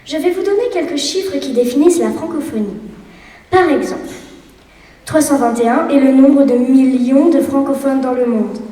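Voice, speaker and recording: A woman's voice: high voice, moderate pace, dark tone. Far-off girl's speech in an echoey hall. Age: child of 11. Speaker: student speaker in a speech contest. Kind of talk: recited contest speech. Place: school hall.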